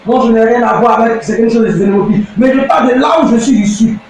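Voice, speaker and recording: Shouting high-voiced Ivorian preacher. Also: male voice, very echoey, far from the mic, a little hiss or hum, rough recording. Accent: Ivorian French. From Côte d'Ivoire. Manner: declamatory, often shouting, with slow emphatic stretches. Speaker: pastor. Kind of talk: impassioned preaching address.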